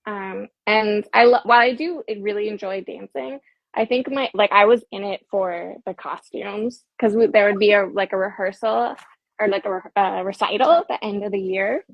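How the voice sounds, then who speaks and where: Woman host, chatty, lots of fillers, gravelly fry, dull dry lo-fi sound. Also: mid-range voice, moderate pace, no room sound. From United States. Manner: chatty, many fillers and giggles. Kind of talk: casual chat.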